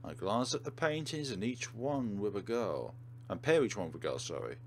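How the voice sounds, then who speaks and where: A man's voice: on the low side, big pitch swings, normal speed. Man reading game narration, faint noise behind. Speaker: let's play host. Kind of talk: reading game narration aloud with casual commentary.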